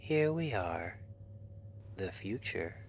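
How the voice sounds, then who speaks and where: Man acting as a gentle male sleep-lab clinician, lowish voice, soft and whispery, reassuring, ASMR roleplay, clear background hum. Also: sing-song, heavily acted. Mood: welcoming, promotional. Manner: soft-spoken, slow, soothing, often dropping to a whisper. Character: gentle, reassuring male dream-institute clinician guiding a trial patient.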